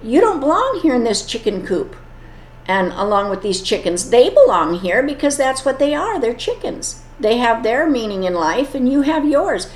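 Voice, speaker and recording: A woman's voice: fairly high pitch, big pitch swings, moderate pace. A woman voicing an earnest male eagle who urges a young bird to fly. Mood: urging. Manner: earnest, urging. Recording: echoey room.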